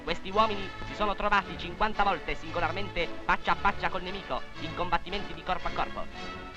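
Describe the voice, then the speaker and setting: High-pitched male 1940s newsreel narrator. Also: expressive, brisk. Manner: formal, declamatory. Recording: 1940s newsreel soundtrack, music behind.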